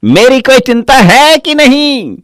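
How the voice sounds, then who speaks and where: High-pitched grumpy village husband, acted by a man. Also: lively intonation, measured pace, fairly bright tone, no room sound, clean studio sound, full character voice. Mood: scolding. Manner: sarcastic, scolding. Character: grumpy, demanding village husband, sarcastic and bossy. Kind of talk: Hindi cartoon story acting.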